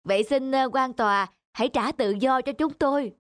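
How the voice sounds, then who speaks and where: A woman's voice: sits high, normal speed, no echo, studio quality, close to an everyday voice. A woman voicing a humble male thief suspect in a cartoon, pleading and protesting in a servile manner.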